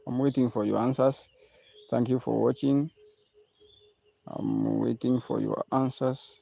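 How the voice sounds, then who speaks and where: Very gravelly man hosting a live lesson. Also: medium pitch, fairly even intonation, a little slow, dark tone. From Kenya. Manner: repetitive, coaxing viewers to answer. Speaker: music teacher hosting a live stream. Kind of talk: live-stream Q&A with viewers.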